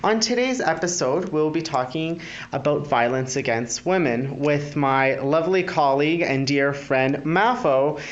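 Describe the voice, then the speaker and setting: A man's voice: fairly high pitch, lively intonation, measured pace, dull audio. Eager male podcast host. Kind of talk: casual interview hosting.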